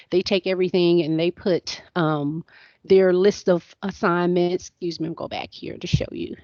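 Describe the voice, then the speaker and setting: A woman leading a screen-share training session for faculty, conversational and repeating herself, her voice going a bit hoarse. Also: on the low side, darkish tone, dry recording. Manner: conversational, repeats words and restarts often. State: voice going hoarse, clearing throat. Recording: dull, dry lo-fi online session audio.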